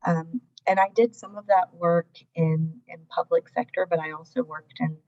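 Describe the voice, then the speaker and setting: A woman's voice: lowish voice, steady tone, moderate pace, mellow, highs cut off. A woman panelist reflecting thoughtfully on her career path, repeating words as she goes. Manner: thoughtful, restarts words, many ums. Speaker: webinar panelist.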